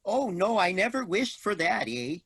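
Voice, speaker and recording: A man's voice: high-pitched, very animated, normal speed, a bit bright, husky, full character voice. A man acting a polite but menacing robot in a staged play reading, making formal, mock-helpful announcements. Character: polite, menacing male robot in a boxy body.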